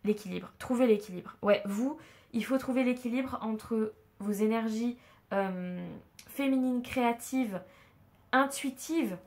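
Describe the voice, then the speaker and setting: Woman, head cold, nasal and stuffy; chatty tarot reader, halting, lots of "euh". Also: fairly high pitch, a little mellow. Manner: hesitant, many fillers and restarts. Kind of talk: casual spoken tarot reading to viewers.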